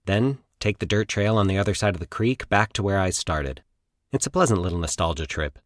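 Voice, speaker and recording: A man from Colorado with an American accent, narrating a scripted short story in a measured, literary way. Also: low-pitched, expressive, dry recording, clean studio sound. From Colorado, United States. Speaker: fiction podcast narrator.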